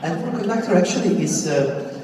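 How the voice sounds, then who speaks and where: Halting male conductor in an echoing hall. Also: sits high, animated. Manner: halting, searching for words. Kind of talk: onstage discussion. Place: large opera house stage. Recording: echoey hall from far off, audience noise.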